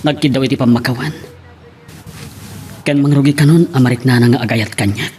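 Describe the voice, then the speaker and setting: A man's voice: medium pitch, fairly even intonation, fairly quick, darkish tone, a little hiss or hum, close to an everyday voice. A man playing a brooding, lovelorn man in an Ilocano romance drama. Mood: troubled. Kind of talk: Ilocano radio-style drama acting. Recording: music under the voice.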